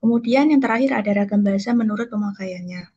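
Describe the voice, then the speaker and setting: A young woman with a lilt walks classmates through her part of a lesson, in a relaxed explanatory way with little verbal tags. Her voice is husky and breathy, and the audio comes through muffled and lo-fi. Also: mid-range voice, fast talker. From Kediri, East Java. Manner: casual explanatory, fillers like gitu. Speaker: student presenter. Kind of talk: online class presentation.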